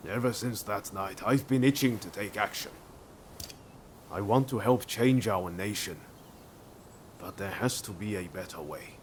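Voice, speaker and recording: A man's voice: on the low side, unhurried, pauses often, a little hiss or hum, lightly acted. A man playing an earnest, idealistic samurai in a video game cutscene, speaking informally and reflectively. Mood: resolute, reflective. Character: earnest, idealistic male samurai eager for change.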